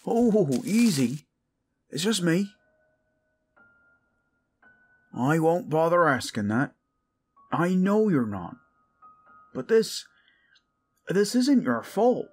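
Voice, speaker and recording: A man's voice: a little high, sing-song, slow, long pauses, breathy edge, soft background music, heavily acted. A man playing a loyal, protective commoner in a fantasy audio drama, earnest and informal in manner. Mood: consoling. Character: loyal protective young male commoner, defiant but caring.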